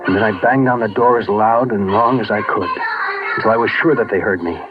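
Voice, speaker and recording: An American actor playing a soldier who recalls a Christmas in Korea with feeling, in a 1950s radio drama. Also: male voice, fairly low pitch, fairly quick, close to an everyday voice. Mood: stirred, urgent. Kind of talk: 1950s radio drama first-person narration. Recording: dull 1950s radio recording, music behind. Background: dramatic music.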